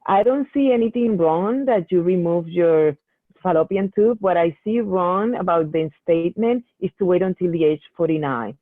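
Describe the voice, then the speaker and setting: A woman's voice: lowish voice, normal speed, no room sound. A woman medical geneticist answering patient questions in a Q&A session, explaining at length with many restarts. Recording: tinny phone-like call audio.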